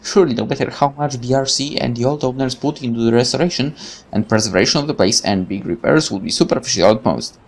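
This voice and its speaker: A man's voice: mid-range voice, fast, poor audio. A man hosting a let's play, reading game text aloud and adding rambling casual asides, sometimes stumbling over words. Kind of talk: reading a visual novel aloud with casual commentary.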